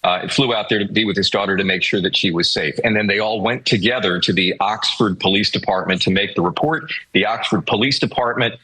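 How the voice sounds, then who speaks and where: A man reporting a story on a talk show in a measured, explanatory way. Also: fairly low pitch, pushed and bright, slightly rough, dry recording, poor audio.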